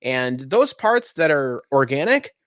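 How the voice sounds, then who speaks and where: A man's voice: medium pitch, big pitch swings, a little slow, fairly bright tone, no room sound, studio quality. A man hosting as game master, narrating a tabletop roleplaying game descriptively with wry asides. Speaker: game master and podcast host.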